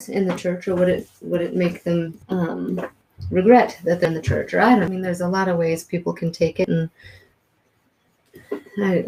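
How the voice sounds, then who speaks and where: A woman's voice: on the low side, warm tone, low-quality recording. A woman co-hosting a casual livestream, chatting and reading viewer comments aloud. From Wisconsin. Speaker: co-host of a livestream.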